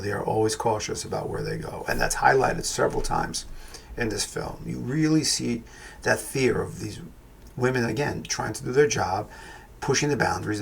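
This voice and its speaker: A man's voice: lowish voice, vocal fry, a little hiss or hum. A man with a New York accent giving a casual, rambling film review on his podcast, thinking aloud with frequent restarts. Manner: rambling, thoughtful, many restarts and fillers.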